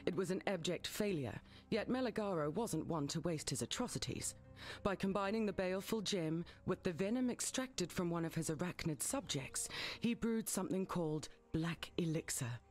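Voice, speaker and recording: An actress voicing a wry, learned researcher in a video game, recounting dark history in articulate, dry speech. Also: female voice, lowish voice, expressive, normal speed, faint music behind, close to an everyday voice. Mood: wry, detached. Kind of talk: video game narrative dialogue.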